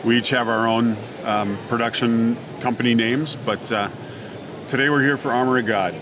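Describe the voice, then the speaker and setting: A middle-aged man gives casual interview answers as a guest. He phrases things haltingly and thoughtfully at a medium pitch. Crowd noise from a convention hall is clearly heard behind him. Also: fairly even intonation. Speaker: podcast guest, audio drama writer and director. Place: convention booth, live recording. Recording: noisy convention crowd background. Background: crowd ambience.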